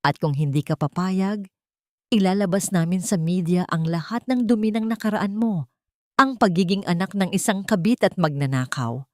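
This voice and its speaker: A narrator plays a bitter rich woman in an audio drama, accusing and menacing. Her voice is fairly low for a woman and recorded very dry. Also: normal speed, no echo, natural voice. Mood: threatening. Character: bitter, threatening rich woman out for money and revenge.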